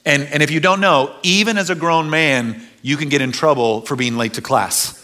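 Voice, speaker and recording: A man's voice: a little high, normal speed. A middle-aged American man telling a story as a preacher, informal and conversational, often repeating words and acting out dialogue. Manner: animated retelling with quoted dialogue, repeats words, informal slang. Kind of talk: storytelling sermon to an audience.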